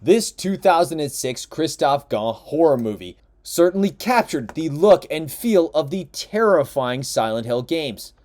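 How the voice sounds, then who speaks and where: A man narrating a scripted countdown voice-over, with a lively, emphatic listing delivery. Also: on the high side, a little slow, few pauses.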